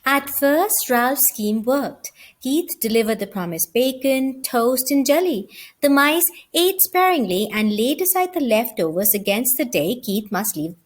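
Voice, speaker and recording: A woman reading a children's story aloud as an expressive narrator. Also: sits high, measured pace.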